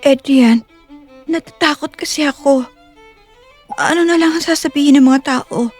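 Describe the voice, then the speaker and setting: A woman's voice: sits high, moderate pace, soft background music, natural voice. A woman playing an anxious, humble young farmer's daughter in an audio drama. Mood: anxious tender.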